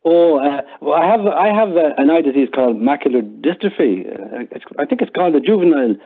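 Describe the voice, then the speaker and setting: A man's voice: fairly high pitch, lively intonation, a little fast, slightly rough. An older man with an Irish accent speaks in a casual, conversational way, as if reminiscing in an interview. He often repeats words as he goes. His voice comes through a muffled, narrowband line that sounds like a phone call. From Ireland. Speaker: interview guest.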